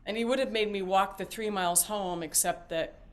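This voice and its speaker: A woman's voice: medium pitch, close to an everyday voice. Woman acting a rambling, evasive mother. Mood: rambling, indignant. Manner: halting, rambling, theatrical emphasis. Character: talkative, evasive mother and grandmother, fiercely devoted, just paroled. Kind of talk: one-act stage play acting.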